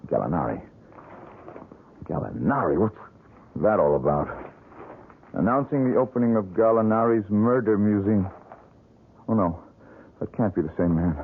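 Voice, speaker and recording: An actor playing a struggling young painter in a 1970s radio drama, talking eagerly with quick bursts of enthusiasm and a self-mocking streak. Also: male voice, fairly low pitch, expressive, slight background noise. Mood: uneasy. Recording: narrow lo-fi radio broadcast.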